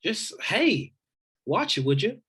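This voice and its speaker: A man plays an irritable young guy in his early twenties at a drama table read. His voice is husky and very lively in pitch, with a sarcastic bite. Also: slow, exaggerated voice. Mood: irritated, sarcastic.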